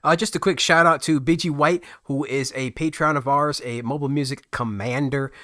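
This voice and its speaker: A man's voice: on the high side, fairly even intonation, moderate pace, pushed and bright, dry recording, studio quality. A man hosting a casual music-app livestream, chatting to his viewers in a rambling way with many restarts. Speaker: livestream host.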